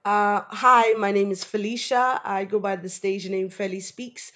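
A woman from Nigeria, a spoken word artist, recalling her childhood in a relaxed, reflective way. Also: mid-range voice, unhurried. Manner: relaxed, reflective, many fillers. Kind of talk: casual interview. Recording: soft music bed behind voice.

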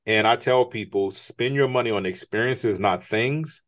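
A man's voice: mid-range voice, moderate pace, fairly bright tone. A man speaking as an interview guest, earnest and motivational, often rising in emphasis. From United States. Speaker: guest, business strategist. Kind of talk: conversational interview.

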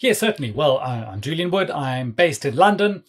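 Husky-voiced South African man. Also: fairly high pitch, sing-song, normal speed. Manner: chatty, self-deprecating, many fillers. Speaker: guest, developer advocate. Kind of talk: casual podcast interview.

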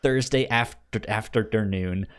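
A man's voice: fairly low pitch, slow pace. A man hosting a casual podcast, often stammering and repeating his words. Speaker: podcast host.

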